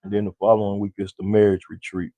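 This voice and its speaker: A deep, low-pitched man co-hosting a call-in podcast in a relaxed, easygoing way, often speaking to listeners directly. His tone is dark and mellow, and the sound is dry and close. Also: fast talker, dry recording, dull audio. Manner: laid-back, talks straight to listeners as y'all. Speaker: podcast co-host. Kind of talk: casual chat with listeners.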